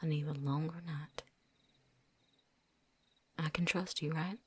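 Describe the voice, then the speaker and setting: A world-weary female vampire hunter, acted by a woman for an ASMR roleplay, speaks in a breathy, husky whisper. The voice sits fairly low. The manner is blunt and loyal, with a folksy put-on drawl and dropped word endings. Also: fairly even intonation, takes it slowly, exaggerated voice. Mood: low, steady.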